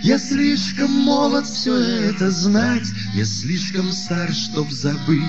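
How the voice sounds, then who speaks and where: High-voiced man singing slow bard song. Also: expressive, lo-fi sound. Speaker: singer-songwriter. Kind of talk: acoustic guitar bard song. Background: plucked acoustic guitar.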